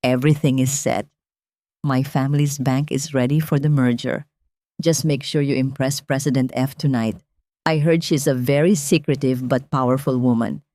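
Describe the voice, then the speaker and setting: Low, smug rich girlfriend, voiced by a woman narrator. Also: fairly even intonation, normal speed, mellow, dry recording, studio quality. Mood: smugly confident. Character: confident, calculating glamorous young rich girlfriend.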